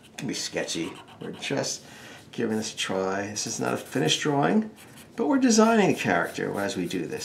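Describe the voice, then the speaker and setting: A man's voice: mid-range voice, creaky, a little hiss or hum. A man teaching a casual step-by-step drawing tutorial, with wry, joking asides. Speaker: drawing instructor.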